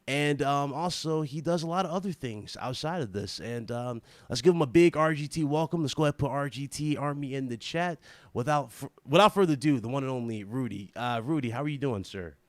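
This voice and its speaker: A man's voice: mid-range voice, a little fast, no room sound. Hyped guy hosting a live podcast, lots of ums and repeats, dry sound. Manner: hyped, stumbles and repeats words. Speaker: podcast host. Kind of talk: casual live podcast interview.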